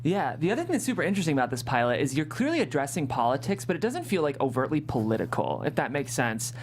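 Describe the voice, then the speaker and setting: A woman's voice: deep voice, sing-song, fast talker, a little hiss or hum. A woman hosting a script read, narrating stage directions and chatting with guests. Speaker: host and stage-direction reader.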